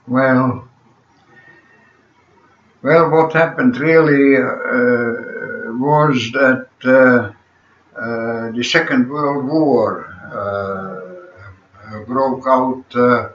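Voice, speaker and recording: A man of about a hundred with a Latvian accent, slowly recounting his life story in an interview, pausing often and filling gaps with "uh". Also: medium pitch. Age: 100s. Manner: halting, many pauses and "uh" fillers. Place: small room at home, seated in an armchair. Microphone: camera across the room. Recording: echoey room, distant camera mic, dull sound, faint hiss.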